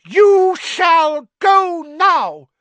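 A tiny male house elf with a piercing, shrill squeak far above a normal voice, in a storybook reading. His voice leaps around wildly and sounds unlike the reader's own. The recording is dry and close. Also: big pitch swings, slow, a bit bright, no room sound, full character voice. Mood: fierce. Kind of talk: audiobook character voice.

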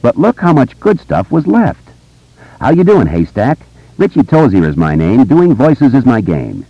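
A man's voice: mid-range voice, huge pitch swings, a little slow, no room sound, highs cut off, voice pushed up, heavily acted. A man voicing a hyperactive, wisecracking boy show-off with a brash, teasing, theatrical manner in an audiobook.

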